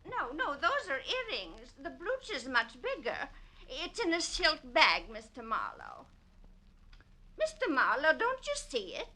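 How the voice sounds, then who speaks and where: A woman's voice: high voice, wildly swooping pitch, unhurried, sharp tone, exaggerated voice. An actress playing a distraught, chatty woman in a vintage radio detective drama, fretful and hesitant, often breaking off mid-sentence. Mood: urgent. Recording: old radio drama recording.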